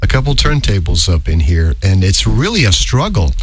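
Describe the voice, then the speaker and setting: A man's voice: sits low, very animated, moderate pace, breathy edge, no echo. A middle-aged man hosting a live radio show in a studio, chatting in a rambling, self-correcting way. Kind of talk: casual live radio patter.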